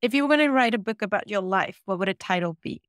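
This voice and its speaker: A woman's voice: mid-range voice, lively intonation, no room sound. Woman host asking short questions, dry sound. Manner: measured, asks short set questions. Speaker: interviewer, host. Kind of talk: scripted interview questions.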